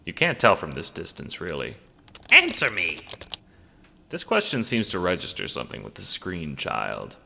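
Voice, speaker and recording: A man narrating a scripted audio drama in a theatrical, deliberate storytelling manner. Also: low voice, wildly swooping pitch, creaky, in a large hall, background noise.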